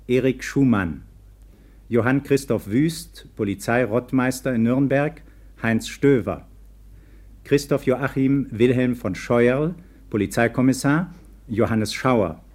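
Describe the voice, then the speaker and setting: A man reading a formal, scripted announcement as a radio announcer, measured and precise. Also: mid-range voice, darkish tone.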